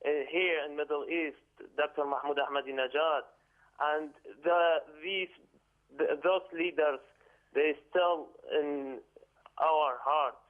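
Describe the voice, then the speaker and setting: A man calling in from Iraq, speaking halting with many fillers. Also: a little high, steady tone. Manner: halting non-native English with many fillers. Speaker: caller. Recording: phone line.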